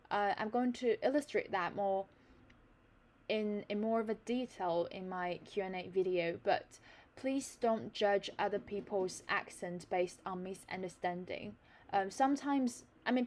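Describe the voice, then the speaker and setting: A woman's voice: medium pitch, a little mellow. Young woman, Chinese, learned British accent, English tutor, reads then explains, fillers and restarts. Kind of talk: reading and explaining an article.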